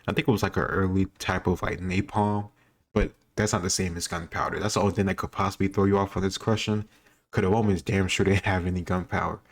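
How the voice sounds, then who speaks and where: A man's voice: sits low, flat delivery, quick pace. A man giving casual commentary on a history quiz, thinking aloud in an informal, rambling way. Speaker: host reacting to a history quiz video.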